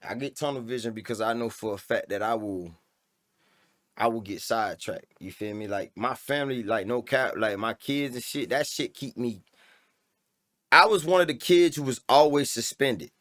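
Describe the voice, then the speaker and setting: A man's voice: medium pitch, normal speed, fairly bright tone. A man chatting casually and emphatically in a slangy debate as a rapper on a podcast, often repeating himself to drive a point home.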